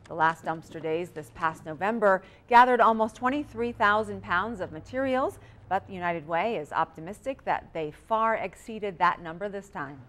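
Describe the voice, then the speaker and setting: A woman's voice: mid-range voice, lively intonation, moderate pace, hardly any pauses, a bit bright. A female news anchor with an American accent delivers scripted stories in a mid-range voice. She reads as a presenter would in a local newscast.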